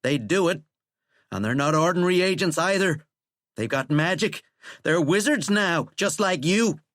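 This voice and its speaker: A man's voice: lowish voice, little pitch movement, brisk, a bit bright, clear, resonant tone, no room sound, clean studio sound, natural voice. A man voicing a furious, petulant, self-important American president in an audiobook, blustering and defensive. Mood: threatening, rattled. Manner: blustering, defensive, prone to screeching. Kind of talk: audiobook character acting.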